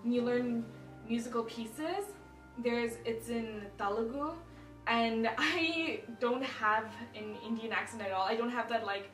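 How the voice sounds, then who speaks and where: A woman's voice: on the high side, measured pace, a bit bright, soft background music. A teenage girl with an American accent talking casually in an interview, full of "like". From United States. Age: teens. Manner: chatty, lots of "like". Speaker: student interviewee. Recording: echoey room, mic off at a distance.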